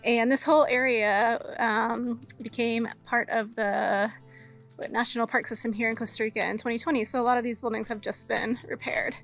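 A woman's voice: on the high side, moderate pace, vocal fry, soft background music. Chatty woman vlogging from a sailboat. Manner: relaxed, chatty, laughs often. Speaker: sailing vlogger. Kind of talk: casual vlog to camera. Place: aboard a sailboat cabin.

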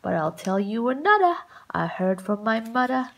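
A woman voicing a fond male babysitter in a children's picture book, soothing, measured and gently firm. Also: a little high, sing-song, vocal fry, pitched below the performer's own voice, full character voice.

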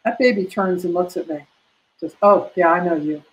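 Lowish older woman teaching by webcam. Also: normal speed, poor audio. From United States. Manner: warm, practical, chatty teaching with many fillers. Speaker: prenatal massage instructor. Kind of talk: live online class answering questions. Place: home room. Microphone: laptop webcam on a video call.

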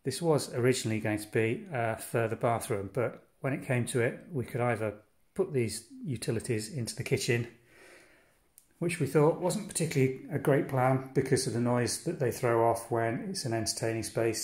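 A man showing visitors around his holiday cottage, describing each room in an unhurried, itemizing way as he walks. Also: medium pitch, darkish tone. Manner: unhurried, descriptive, pausing between items. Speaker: holiday cottage owner giving a tour. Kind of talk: guided walk-through house tour. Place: inside a cottage, walking room to room.

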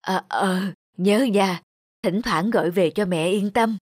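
A woman voicing a worried, self-sacrificing elderly village mother in a cartoon, gentle and plaintive in manner. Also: medium pitch, takes it slowly, breathy edge, no room sound. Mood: tender. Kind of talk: animated moral tale voice acting.

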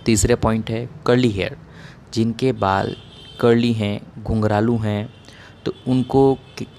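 Guy doctor explaining stuff point by point, lowish relaxed dark voice, bit of background noise. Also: measured pace. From India. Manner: plain, instructive, methodical. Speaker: dermatologist giving hair-care advice.

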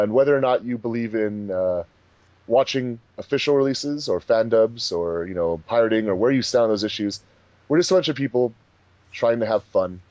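Man, lively pitch swings, dry recording, casual commentary host. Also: no room sound, highs cut off. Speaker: host of a fan dub commentary track. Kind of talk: casual group commentary chat.

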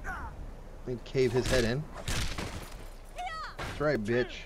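A man's voice: mid-range voice, wildly swooping pitch, slow. A man giving casual let's play commentary, informal and crude, thinking aloud as he goes. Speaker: gaming video host. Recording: game ambience and noise behind voice. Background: video game sounds.

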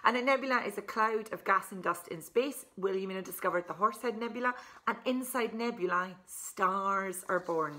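Bright-voiced woman presenting for children. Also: medium pitch, slight background noise. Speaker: author presenting a children's book. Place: furnished living room, indoors.

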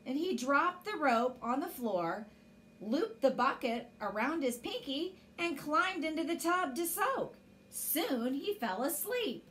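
Woman storyteller, forties, high voice, slow expressive children's reading, recorded indoors. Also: slight background noise.

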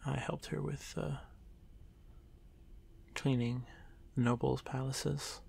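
A man playing a shy, nervous young male drider in an ASMR fantasy roleplay, hesitant, stammering and soft-spoken, apologising and often slipping toward a whisper. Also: mid-range voice, steady tone, takes it slowly, heavily acted. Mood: hesitant, wistful. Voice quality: gravelly, creaky.

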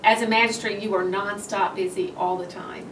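A woman's voice: mid-range voice, fairly even intonation. Woman judge, earnest, laughing asides, distant mic, background noise. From Tennessee. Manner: earnest, warm laughing asides, quick restarts. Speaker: juvenile court judge, guest. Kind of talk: interview answers. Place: office with bookshelves, indoors. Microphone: far camera. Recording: roomy, distant, noisy.

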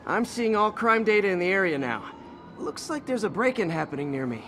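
A man's voice: high-pitched, sing-song, brisk. An actor playing a wisecracking young male superhero who teases and jokes. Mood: matter-of-fact. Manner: joking, teasing. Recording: game audio with clear ambient noise.

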